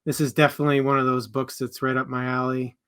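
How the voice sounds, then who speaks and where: A man's voice: medium pitch, flat delivery, fast, fairly bright tone, clear, resonant tone. A man co-hosting a live book discussion stream, talking casually and in a friendly, informal way to viewers.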